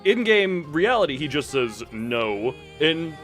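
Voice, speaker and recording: A man's voice: a little high, sing-song, slow, a bit bright. Casual rambling man, music behind. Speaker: streamer. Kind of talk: casual chat on a livestream.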